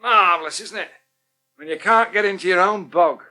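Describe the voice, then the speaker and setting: An actor playing a disgruntled, sarcastic English husband in a radio soap, grumbling flippantly. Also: male voice, high-pitched, moderate pace, bright, forceful tone. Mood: sarcastic, exasperated. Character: disgruntled, sarcastic husband fed up with crowded house. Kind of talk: British radio soap acting.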